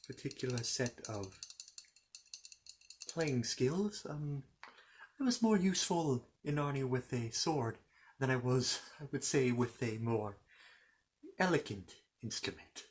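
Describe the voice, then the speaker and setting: A man's voice: medium pitch, animated, a little hiss or hum. A man playing a polite, bumbling, bookish fantasy knight in an ASMR roleplay, stammering and apologetic as he chats. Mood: flustered, polite. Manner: halting, stammering, apologetic, chatty. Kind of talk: improvised ASMR character roleplay.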